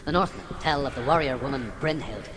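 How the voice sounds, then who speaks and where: Man acting as a solemn myth storyteller, medium pitch, measured, ominous, hushed phrase endings, video game narration, loud ambient noise. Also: close to an everyday voice. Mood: grave. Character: grave male storyteller of Norse myths.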